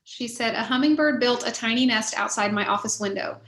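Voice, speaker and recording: Woman, fairly high voice, casual event moderator, reading messages aloud, echoey room sound. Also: brisk, a bit bright.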